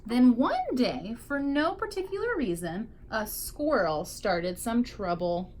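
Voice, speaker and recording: A woman's voice: a little high, very animated, takes it slowly, a little mellow, a little hiss or hum. A woman teacher reading a picture book aloud expressively to young children, drawing out key words for emphasis.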